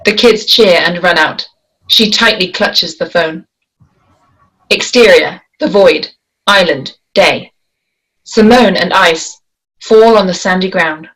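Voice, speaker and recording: A woman reading the stage directions at a script table read in a plain, measured way. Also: lowish voice, a bit bright, poor audio.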